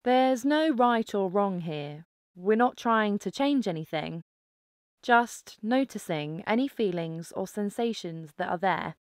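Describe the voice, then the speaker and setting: Female meditation guide: clear, smooth tone, very measured pace, calm instructive delivery. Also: mid-range voice, no echo, studio quality. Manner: calm, instructive, unhurried with pauses. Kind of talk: guided mindfulness meditation narration.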